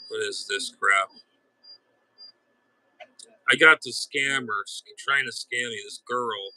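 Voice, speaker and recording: Male streamer, mid-pitched, very sharp and tense tone; aggravated, blunt, off-the-cuff storyteller with halting, repetitive phrasing. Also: no room sound, poor audio. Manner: exasperated, blunt, mildly profane. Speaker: amateur radio hobbyist streamer. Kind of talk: casual rambling storytelling to viewers.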